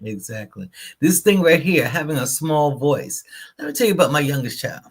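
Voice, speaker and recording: A woman co-hosting a spiritual live show, chatting casually and telling stories in a lively, animated way. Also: deep voice, moderate pace, slightly rough. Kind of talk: casual live-stream chat.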